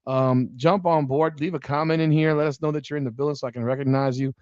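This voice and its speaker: A man in his forties hosting a casual sports podcast, animated and swearing freely as he rambles like a fan. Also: fairly high pitch, fast talker, smooth, no echo. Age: 40s. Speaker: podcast host. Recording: dull dry lo-fi sound.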